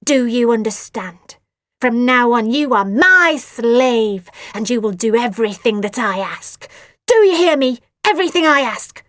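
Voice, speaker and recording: A woman voicing a bratty, cruel girl who snarls shrill threats and orders. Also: slow, few pauses, no room sound, studio quality. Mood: ranting.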